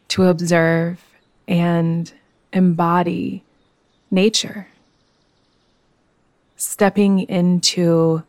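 A woman guiding a yoga nidra meditation in a soothing, gentle, unhurried manner. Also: fairly low pitch, dry recording. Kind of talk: guided meditation.

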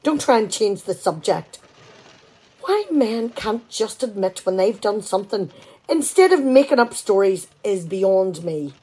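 An actress playing an exasperated, sarcastic wife in a radio play comedy, talkative and full of indignant questions. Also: female voice, mid-range voice, lively intonation, measured pace, warm tone, slightly rough.

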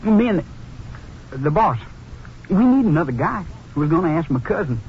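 An excitable young small-time crook in a 1950s radio crime drama. He sounds high, boyish and eager, with very lively swings in pitch. The old recording is dull and slightly noisy. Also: normal speed. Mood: eager, confiding. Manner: jumpy, eager, boyish, slangy. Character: excitable, gullible young male small-time car thief.

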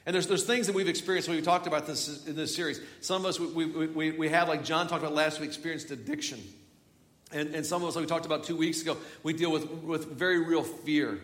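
Joking pastor in an echoey hall. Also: male voice, on the high side, fairly even intonation, quick pace, a bit bright. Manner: chatty, joking, with frequent restarts. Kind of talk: sermon. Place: large church hall. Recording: echoey church hall from far off.

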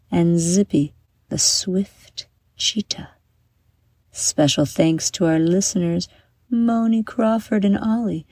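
A woman narrating a scripted bedtime meditation as a guide, soothing and unhurried, letting her phrases trail off into breath. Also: on the low side, dark tone, breathy edge, no echo.

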